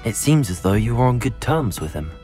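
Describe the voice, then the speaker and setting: An actor playing an earnest teenage wizard student in a video game, polite and composed. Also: male voice, medium pitch, big pitch swings, a little fast, warm tone, faint music behind, close to an everyday voice. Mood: composed, curious.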